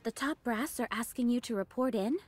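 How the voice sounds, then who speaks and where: Actress as a high, poised young squad soldier. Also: female voice, brisk, close to an everyday voice. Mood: poised, doubtful. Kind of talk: anime game voice acting.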